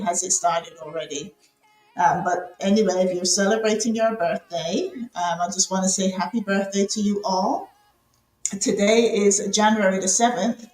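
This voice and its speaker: A woman, a show host from the UK, talking casually and live with plenty of ums and repeated phrases. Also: mid-range voice. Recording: roomy echo.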